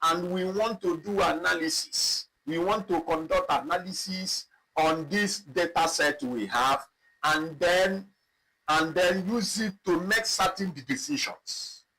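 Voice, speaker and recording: Slow-paced man teaching a software class. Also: sits high, slightly rough, poor audio. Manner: slow, repetitive, thinking aloud while clicking. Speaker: instructor. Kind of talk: live online software tutorial.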